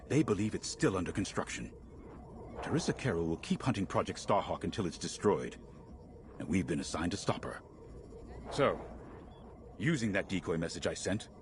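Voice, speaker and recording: An actor playing an earnest military commander in a space video game, measured and authoritative as if briefing pilots. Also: male voice, low voice, lively intonation, background noise. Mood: grave, resolute.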